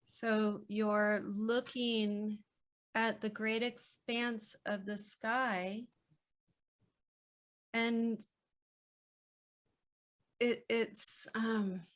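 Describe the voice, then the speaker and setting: A woman speaking as a podcast guest in a reflective conversation, gentle, unhurried and reassuring. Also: a little high, flat delivery. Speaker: podcast guest, children's book author.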